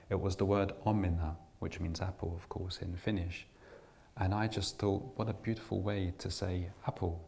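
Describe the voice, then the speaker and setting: Deep gravelly-voiced man giving a talk. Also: a little mellow, slight background noise. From England. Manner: reflective, with frequent restarts and fillers. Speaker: invited speaker. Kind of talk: personal talk to an audience.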